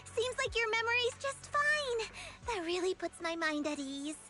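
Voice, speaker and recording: An actress playing a gentle, teasing young woman in an anime game, coy and hesitant in manner. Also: very high-pitched, very animated, a little slow, music in the background, heavily acted. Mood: warm, relieved.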